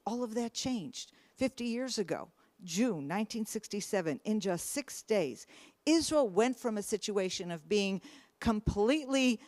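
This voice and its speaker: A middle-aged woman tells a personal story in an earnest, emphatic way. Her voice sits fairly high and sounds close and dry. Her pitch climbs on her questions. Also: no room sound. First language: English. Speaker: speaker. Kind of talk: personal testimony talk.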